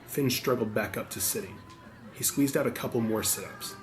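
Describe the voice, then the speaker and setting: A man narrates an audiobook from a script. His voice has a middling pitch, and faint ambient noise runs behind it. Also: moderate pace.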